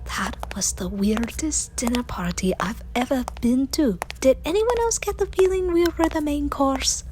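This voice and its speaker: A woman's voice: high-pitched, lively intonation, a little slow, noisy background. A woman playing a sardonic, wisecracking time-traveller's companion in an audio drama, with a wry delivery. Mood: sarcastic. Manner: sarcastic, wry.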